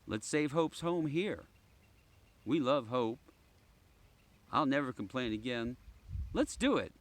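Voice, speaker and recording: A man voicing eager, excited schoolchildren from a storybook in an exclamatory way. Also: medium pitch, takes it slowly. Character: eager excited schoolchildren on a bird-watching trip. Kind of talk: children's storybook read-aloud. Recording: clearly noisy background.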